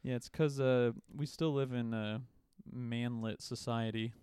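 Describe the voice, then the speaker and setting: A man in his twenties co-hosting a podcast, joking in a sarcastic, deadpan way. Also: mid-range voice, a little slow, clear, resonant tone, no echo, clean studio sound. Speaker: podcast co-host. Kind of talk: casual chat.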